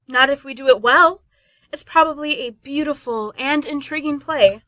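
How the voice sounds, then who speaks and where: High-voiced, encouraging young woman played by an actress. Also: moderate pace, bright, forceful tone, dry recording. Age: young adult. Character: encouraging, romantic young woman among stranded lovers. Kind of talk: modern radio play acting.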